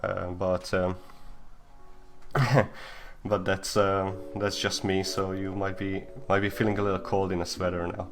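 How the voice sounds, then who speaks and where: A young man hosts a casual live stream in a lowish voice. He talks haltingly, piling up fillers and restarts. Faint guitar music plays behind him. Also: flat delivery. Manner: hesitant, many fillers and restarts. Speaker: host.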